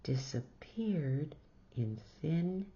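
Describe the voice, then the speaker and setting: A woman tells a children's story in a slightly low voice. Her theatrical delivery is very slow with lively intonation, and the recording is a little dull. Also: noisy background. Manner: theatrical, exclaims reactions between lines. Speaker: storybook reader. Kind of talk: expressive read-aloud storytelling for children.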